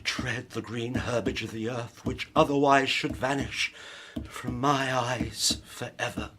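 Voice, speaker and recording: An actor playing a tormented, vengeful scientist worn down by grief, speaking in a solemn, declamatory style in a gothic radio drama. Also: male voice, medium pitch, steady tone, measured pace, a bit bright, lightly acted. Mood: vengeful resolve. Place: festival theatre stage. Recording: live stage recording with clear noise.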